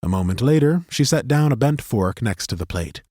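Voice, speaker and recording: A man narrating a fantasy audiobook in a steady, measured storytelling manner on a studio microphone. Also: mid-range voice, no room sound.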